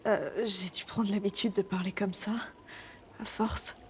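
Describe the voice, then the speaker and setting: A woman's voice: mid-range voice, level tone, unhurried, slight background noise, lightly acted. A woman voicing a serious, subdued, tomboyish teenage girl in a French game dub. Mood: earnest.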